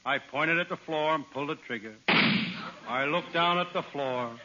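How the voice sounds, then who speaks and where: Wisecracking radio private eye, deadpan; male actor, slightly high, on a muffled, hissing old broadcast. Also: measured pace, natural voice. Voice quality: deadpan punchline delivery. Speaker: comic detective narrator. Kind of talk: 1940s radio comedy acting. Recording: dull old radio transcription with hiss.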